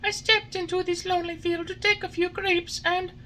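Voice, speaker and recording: A man voicing a wooden puppet boy who pleads and wails in a very high, sobbing voice. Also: level tone, normal speed, bright, forceful tone, slight background noise, heavily acted. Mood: pleading. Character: weeping wooden puppet boy, naughty, remorseful.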